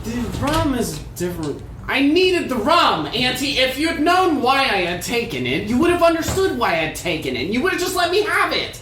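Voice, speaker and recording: A woman's voice: fairly high pitch, very animated, moderate pace, few pauses, far from the mic. A woman playing a defensive, wheedling halfling rogue who makes excuses in a tabletop game. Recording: roomy, faint noise.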